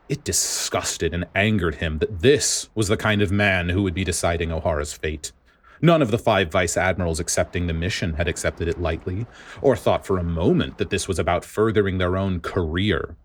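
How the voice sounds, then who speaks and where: Low-voiced man narrating dramatic fiction. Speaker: audiobook narrator.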